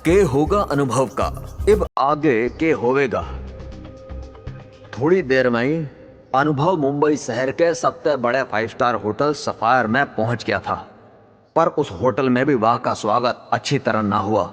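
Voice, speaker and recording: A man's voice: medium pitch, unhurried, big-room sound, soft background music. A man with a Haryanvi accent narrating an audio drama in a dramatic scripted storytelling style.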